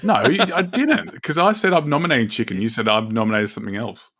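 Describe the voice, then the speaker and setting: A man's voice: mid-range voice, fast talker, fairly bright tone. A man with a country Victorian accent, co-hosting a casual board game podcast, joking and restarting his sentences often. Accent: Australian, country Victorian. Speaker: podcast co-host. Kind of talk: casual chat.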